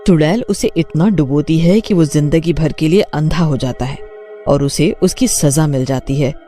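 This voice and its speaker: Mellow male story narrator. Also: fairly high pitch, moderate pace, soft background music. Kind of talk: scripted cartoon story narration.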